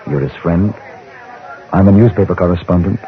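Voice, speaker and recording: A man playing a composed gentleman newspaper correspondent, narrating an old-time radio western in measured, formal language. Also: low-pitched, natural voice. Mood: quietly probing. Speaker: lead actor and narrator. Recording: dull vintage radio recording.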